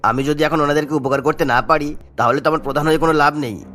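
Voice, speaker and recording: A man's voice: on the high side, fairly even intonation, fast talker, a bit bright, faint music behind, lightly acted. A man voicing a kindly, troubled old village headman and father in a cartoon. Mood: troubled, earnest. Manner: earnest, caring. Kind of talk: animated moral-tale dialogue.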